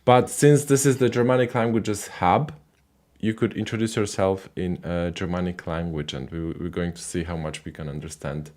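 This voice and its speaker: A man hosting a live call-in language show, casual and halting, with many fillers and restarts. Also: sits low, steady tone, darkish tone.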